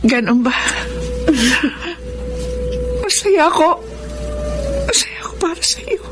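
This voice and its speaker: Higher female voice, Filipino, middle-aged mother, remorseful, pleading, radio drama, clear music underneath. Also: expressive, takes it slowly. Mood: subdued, remorseful. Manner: pleading, plaintive. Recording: music behind the voice.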